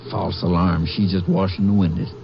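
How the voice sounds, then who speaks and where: An American actor playing a plain-spoken, folksy Texas sheriff in a 1950s radio drama. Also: male voice, low voice, fairly even intonation, moderate pace, natural voice. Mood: curious. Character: folksy, talkative male small-county Texas sheriff. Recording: noisy, muffled old radio recording.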